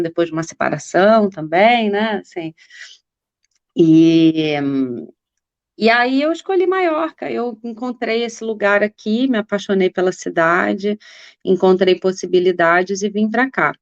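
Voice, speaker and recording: A woman's voice: mid-range voice, no echo, dull audio. A woman in her forties, a political activist guest, telling her life story in a rambling, casual way with many fillers and restarts. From Brazil.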